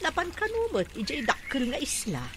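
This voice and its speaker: A woman playing a caring village mother in a radio drama, steady and reassuring. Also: high-pitched, moderate pace. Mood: weary, concerned. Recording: noisy animal and water sound effects.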